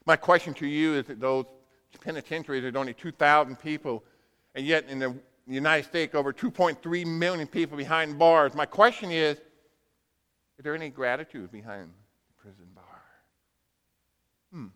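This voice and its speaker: A male preacher delivers his sermon in a high, forceful voice that rings through a long hall reverb. He tells it as a dramatic storyteller, breaking it into short punchy phrases and acting out the dialogue. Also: moderate pace, long pauses, smooth. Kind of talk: sermon with animated personal storytelling. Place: large reverberant room, speaking to a congregation.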